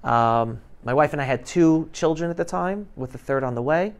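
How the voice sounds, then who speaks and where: Male guest speaker, around 50, smooth clear voice, informal classroom talk, emphatic and interactive. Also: a little high, normal speed. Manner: emphatic, questioning the audience. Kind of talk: informal talk to high school students. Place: classroom, indoors. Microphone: lapel mic.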